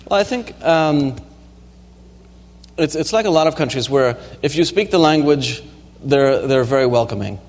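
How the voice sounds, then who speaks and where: A man's voice: medium pitch, lively intonation, moderate pace. A man lecturing at a university and answering audience questions, with frequent ums and restarts. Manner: hesitant, many ums and restarts. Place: lecture hall. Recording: reverberant lecture hall recording.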